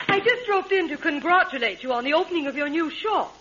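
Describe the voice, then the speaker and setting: An actress playing an affected, flirtatious glamorous film star in a 1940s radio comedy. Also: female voice, very high-pitched, expressive, fast talker, a bit bright, dull audio. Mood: gushing, flirtatious. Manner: affected, gushing, coquettish. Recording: noisy old radio recording, studio audience.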